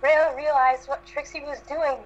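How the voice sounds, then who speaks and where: A woman's voice: high voice, little pitch movement, moderate pace, highs cut off. A woman narrating fan fiction aloud as an amateur reader.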